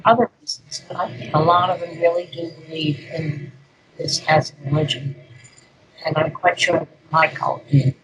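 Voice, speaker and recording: An older woman, a guest speaker, talking through an interview in an unhurried, rambling way with plenty of fillers. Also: low-pitched, steady tone, crowd noise, rough recording. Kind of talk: conversational interview.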